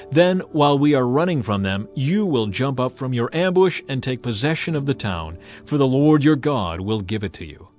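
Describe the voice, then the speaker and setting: A man's voice: medium pitch, a little mellow, natural voice. A man playing a grave, fatherly Israelite military leader who speaks with solemn authority in an audio Bible drama.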